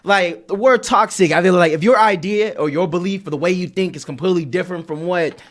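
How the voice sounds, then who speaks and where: Man, podcast co-host, blunt ranting, casual swearing, high voice. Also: fast talker. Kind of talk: casual chat.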